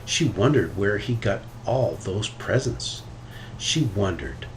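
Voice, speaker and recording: Male storybook reader, low register, very lively intonation, some background noise. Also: slow, low-quality recording. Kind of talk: reading a children's story aloud.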